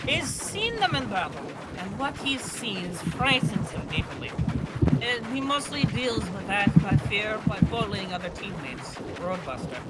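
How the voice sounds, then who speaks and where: A man's voice: high voice, big pitch swings, a little slow. A man reading comedic character profiles in a fan dramatic reading. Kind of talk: comedic character-bio narration. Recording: very noisy amateur recording with clicks.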